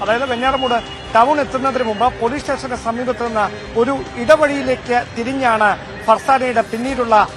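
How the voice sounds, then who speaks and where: Very high-pitched male field reporter. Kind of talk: live news report, unscripted commentary.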